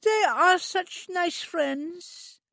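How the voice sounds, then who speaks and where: A high, childlike, pleading woman. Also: creaky, fairly bright tone, no room sound, heavily acted. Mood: eager pleading. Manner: slow, high, sing-song pleading. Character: childlike, eager, clinging young woman.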